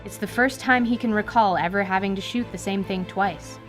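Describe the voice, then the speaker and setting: A woman's voice: medium pitch, a little fast. A woman giving dramatic, scripted narration for a fantasy radio play. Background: music.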